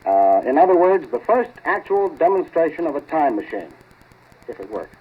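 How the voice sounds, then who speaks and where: A man's voice: on the high side, sing-song, moderate pace. A male actor playing an eager, grumbling laboratory assistant in a folksy 1940s radio drama style. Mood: nervous announcing. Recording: tinny, scratchy 1940s radio recording.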